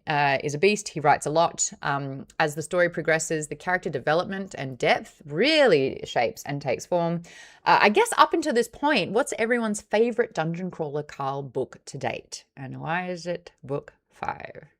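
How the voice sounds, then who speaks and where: A woman's voice: deep voice, expressive, moderate pace, a bit bright. A woman with an Australian accent hosting a casual book club livestream, teasing and sarcastic as she chats with viewers.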